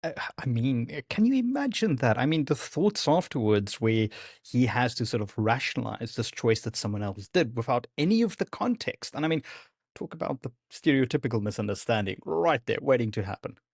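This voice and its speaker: Male co-host, Afrikaans native, husky, lively pitch, dry close sound. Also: brisk, no room sound. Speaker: podcast co-host.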